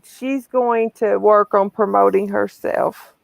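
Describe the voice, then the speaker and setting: A woman's voice: mid-range voice, steady tone, slow, vocal fry, dry recording. A woman hosting a casual livestream, chatty and often restarting her phrases. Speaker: livestream host.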